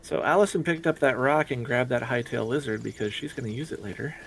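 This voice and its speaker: A man's voice: medium pitch, fast, vocal fry, slight background noise. A man commentating on a speedrun, patiently explaining the game's mechanics.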